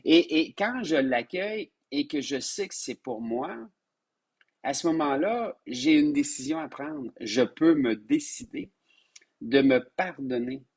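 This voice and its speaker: A man with a Québécois French accent gives a live-streamed spiritual lesson, hoarse from a sinus infection, who repeats words and restarts often and calls his listeners his loves. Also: on the high side, lively intonation, measured pace. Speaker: spiritual teacher.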